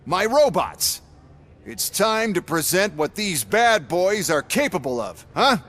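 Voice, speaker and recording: A man's voice: sits high, very animated, unhurried, a bit bright, exaggerated voice. An actor playing a dismissive, sarcastic male executive with a salesman's patter. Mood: showman.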